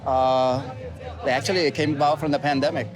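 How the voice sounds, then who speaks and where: A man's voice: medium pitch, fairly even intonation, a little fast. Man, DJ, casual, outdoors, distant from mic, music behind. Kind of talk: outdoor interview. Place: outdoors in a park.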